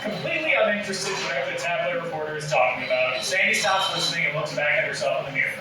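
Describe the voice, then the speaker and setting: A man's voice: fairly high pitch, lively intonation, very fast, over loud music. A man reading comic stage directions aloud as narrator at a table read, recorded in a room at a distance. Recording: echoey room, distant mic, noisy.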